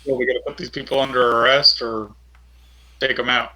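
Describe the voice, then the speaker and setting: Bright, forceful-voiced man at game table. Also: mid-range voice, flat delivery, a little fast, low-quality recording. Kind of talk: casual game-table chat.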